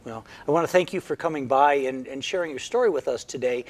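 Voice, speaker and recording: A man with a Midwestern Michigan accent who hosts an interview show and teaches English pronunciation in an upbeat, clear way. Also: mid-range voice, fast talker. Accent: Michigan Midwestern American. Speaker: TV host.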